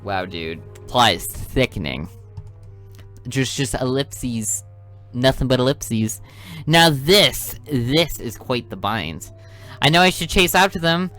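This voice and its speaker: A man's voice: medium pitch, animated, takes it slowly, a bit bright, soft background music. A man who is a gaming YouTuber, reading a visual novel aloud with casual, joking commentary.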